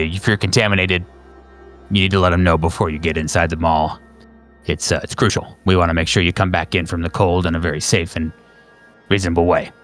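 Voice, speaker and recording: A man playing a calm, businesslike agency dispatcher on a phone call in a horror roleplaying game, speaking in a measured, slightly hesitant official way. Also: deep voice, pitched below the performer's own voice.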